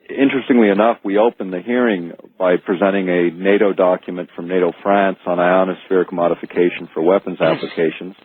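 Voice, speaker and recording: A North American man with a husky voice, explaining in earnest, careful detail as a guest on a radio interview. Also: sits low. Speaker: guest author and researcher. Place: remote call from Alaska into radio show. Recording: phone-like, echoey archived radio call.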